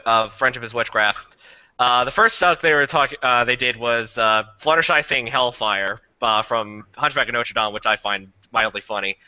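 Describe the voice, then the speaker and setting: A man's voice: medium pitch, sharp tone. A man in a casual, opinionated podcast discussion, speaking in an exasperated, emphatic and mocking way. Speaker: podcast panelist.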